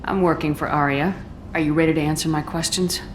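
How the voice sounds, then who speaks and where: Actress as a low-voiced, blunt female commander. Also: steady tone, brisk, slight background noise, natural voice. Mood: firm. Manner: terse, matter-of-fact. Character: tough, curt female military commander, blunt and dry. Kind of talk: video game voice acting.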